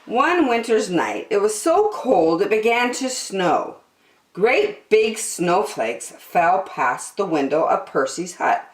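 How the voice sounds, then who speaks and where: A woman reading a children's story aloud expressively, with deliberate, dramatic phrasing. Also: mid-range voice, very animated, echoey room, poor audio.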